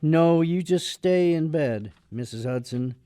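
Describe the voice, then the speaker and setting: An actor playing a brusque, sardonic attorney with a soft heart in a radio drama. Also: male voice, a little high, animated, unhurried, clean tone, no room sound, studio quality. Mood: earnest, persuasive.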